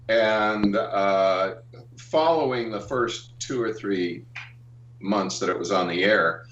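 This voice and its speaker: An older American man with a deep voice looks back on his working life in an interview. He talks haltingly, with pauses and ums. The sound is dull and roomy, as if he sits some way from the microphone. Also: fairly bright tone. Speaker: interview guest, animation artist and art director. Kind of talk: reminiscing in a casual interview. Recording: roomy, dull, distant call-like audio.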